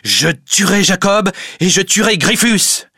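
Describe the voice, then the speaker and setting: A man's voice: medium pitch, a little slow, background noise, natural voice. A man voicing a passionate, desperate, hot-headed prisoner in a storybook reading. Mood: furious, desperate. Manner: intense, exclaiming.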